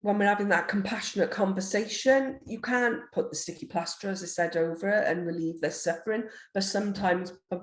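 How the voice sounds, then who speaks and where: A woman with a deep, gruff voice, a nurse educator giving a recorded online lecture in a forthright, quick manner with frequent ums. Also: a little mellow. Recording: roomy echo.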